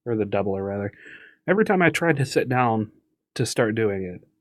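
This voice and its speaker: A man's voice: lowish voice, very animated, a little fast, no echo. Blunt male co-host, close dry mic. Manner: blunt, laughing, projects his voice. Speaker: podcast co-host. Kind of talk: casual chat.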